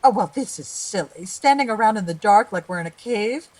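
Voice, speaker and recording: A woman's voice: mid-range voice, expressive, brisk, darkish tone, a little hiss or hum, natural voice, poor audio. A woman voicing an evasive, grasping collector of relics who talks in a hesitant, coaxing way. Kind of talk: audio drama acting.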